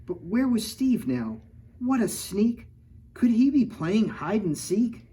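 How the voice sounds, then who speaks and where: A man's voice: high voice, lively intonation, takes it slowly, warm tone, husky, voice pushed up, exaggerated voice. Man reading as mischievous little three-year-old girl, sing-song. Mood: playful scheming. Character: mischievous, self-justifying three-year-old girl telling her week. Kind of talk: rhyming picture-book narration.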